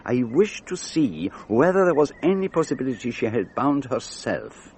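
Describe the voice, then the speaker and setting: An actor playing a brilliant, self-assured detective in a radio drama, precise and theatrical. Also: male voice, mid-range voice, sing-song, normal speed, slight background noise, natural voice. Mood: explaining, deliberate. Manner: precise, theatrical, gently didactic.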